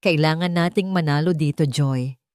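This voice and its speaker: A woman's voice: sits low, moderate pace, no room sound, studio quality, lightly acted. A woman voicing a heartbroken, earnest father determined to protect his children in an audio story. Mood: hurt, resolute. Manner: hushed and earnest.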